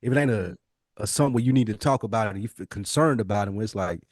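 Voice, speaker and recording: A man's voice: fairly low pitch, fast talker, dry recording, studio quality. A man hosting a podcast in a casual, conversational and talkative way. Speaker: podcast host.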